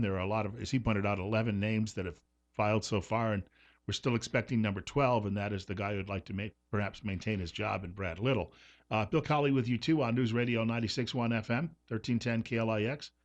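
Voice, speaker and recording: Low-voiced male talk radio host. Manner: conversational, loose, with frequent fillers.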